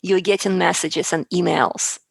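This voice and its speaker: Gravelly low-voiced woman, earnest and emphatic. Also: fairly even intonation, normal speed, no echo. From outside the US. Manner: earnest, emphatic, repeats words for stress. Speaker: public speaking coach, guest. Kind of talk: conversational interview.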